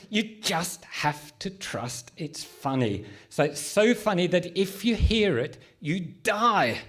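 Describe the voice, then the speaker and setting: A man's voice: high-pitched, big pitch swings, fairly bright tone, heavily acted. A man playing an exasperated, emphatic comedian in a live radio comedy play. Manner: deliberate, emphatic. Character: exasperated male comedian recording a sketch, pompous narrator. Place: hall stage in front of a live audience.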